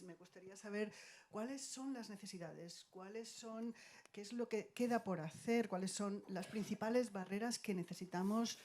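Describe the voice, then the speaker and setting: Spanish woman moderating, medium pitch. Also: darkish tone. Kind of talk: moderating a panel discussion.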